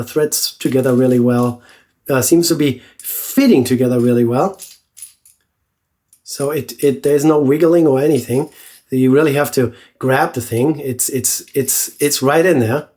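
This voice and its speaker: A man with a stuffy, nasal voice from a cold, chatting casually while he reviews a razor on his shaving channel. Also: mid-range voice. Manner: relaxed, chatty, with many fillers and restarts. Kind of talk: casual product review vlog.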